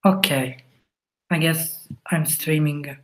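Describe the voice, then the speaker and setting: Slow halting Italian man demoing code. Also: on the high side, fairly even intonation, background noise. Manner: halting, thinks aloud with fillers. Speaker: webinar presenter. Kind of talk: live coding walkthrough.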